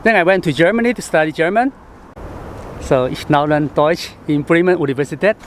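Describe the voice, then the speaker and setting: A man from Chengdu with a Chinese accent, a travel vlogger talking casually to camera outdoors, halting and full of fillers. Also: on the high side, a little hiss or hum. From Chengdu, China. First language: Sichuanese. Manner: chatty, halting, with frequent fillers and laughs. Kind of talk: casual vlog talk to camera. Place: outdoors in the city.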